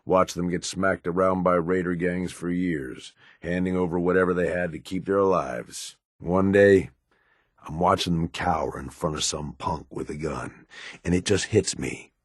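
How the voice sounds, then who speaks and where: A man playing a hard-bitten, cynical American raider lieutenant in a video game, with a gruff, blunt, slangy way of talking. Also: low voice, fairly even intonation, unhurried, no room sound, studio quality. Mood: bitter, reflective. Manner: gruff, plain-spoken, drawling slangy delivery. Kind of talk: video game companion dialogue.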